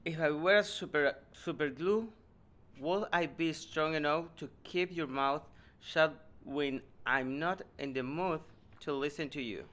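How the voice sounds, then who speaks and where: Sincere young man, slightly high voice, smooth, hesitant, earnest, naive comic contestant. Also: a little slow, a bit bright. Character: naive, sincere, reluctant young male dating show contestant.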